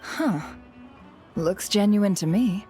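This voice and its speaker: A woman playing a composed, diplomatic guild leader in a video game, measured and courteous in a formal way. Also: medium pitch, mellow, lightly acted. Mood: calm approval. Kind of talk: video game dialogue acting. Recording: clear music behind.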